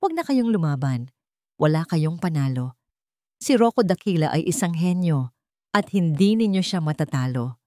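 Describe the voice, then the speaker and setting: A woman's voice: deep voice, sing-song, normal speed, warm tone, no echo. A cold, scheming man acted out by a woman storyteller speaks with boastful menace in a dramatic audio story. The voice is greedy and threatening, recorded dry and without room sound. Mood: menacing warning.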